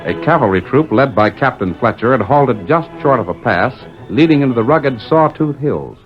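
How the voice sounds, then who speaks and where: Animated American radio announcer, a man. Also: mid-range voice, normal speed. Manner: dramatic, declamatory. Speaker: announcer and narrator. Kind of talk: 1940s radio scripted narration. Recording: muffled 1940s radio recording with music.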